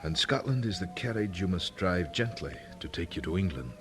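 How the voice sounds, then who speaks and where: A deferential nobleman advising royalty. His low voice moves expressively, with formal courtesy and a probing, insinuating manner. It is historical audio drama, with faint music underneath. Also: lively intonation, brisk. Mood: courtly probing.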